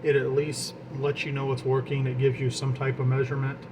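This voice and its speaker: A man's voice: mid-range voice, fairly quick. A man giving an informal hands-on equipment demonstration, explaining as he goes and partly talking to himself while he works. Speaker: radio hobbyist demonstrating equipment. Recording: clear steady background equipment noise. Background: fan and equipment noise.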